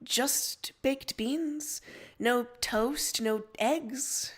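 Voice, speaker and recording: A woman's voice: a little high, very slow. A woman voicing a prim, probing teenage girl with a formal, insistent way of talking, in a story reading. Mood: exasperated, prying.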